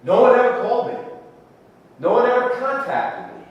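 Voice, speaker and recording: A man's voice: very high voice, big pitch swings, normal speed, lo-fi sound. An actor playing an impassioned man making an emphatic, theatrical plea in a courtroom drama. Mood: indignant. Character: impassioned man pleading a wronged woman's case in court. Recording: echoey hall, far from the mic.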